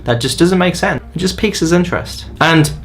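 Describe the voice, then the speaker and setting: A man's voice: fairly high pitch, brisk, slight background noise. A man narrating a scripted book review in an enthusiastic, opinionated way. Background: faint music.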